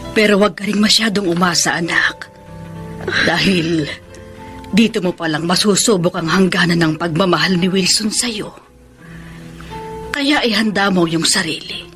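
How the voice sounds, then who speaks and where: A woman's voice: fairly low pitch, normal speed, long pauses, a bit bright, faint music behind, close to an everyday voice. An actress with a Filipino accent playing a caring, cautious older mother in a radio romance drama. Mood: gentle warning.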